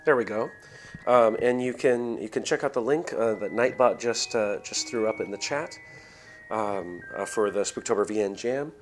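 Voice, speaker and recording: A man hosting a game livestream, talking casually to viewers in a halting way, thinking aloud as he goes. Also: fairly low pitch. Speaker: game streamer, host. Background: game music.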